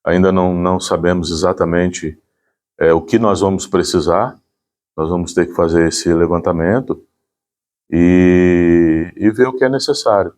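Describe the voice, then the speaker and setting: A man's voice: low voice, steady tone, moderate pace, a little mellow. A man gives an informal explanation. He speaks as a volunteer presenting community work.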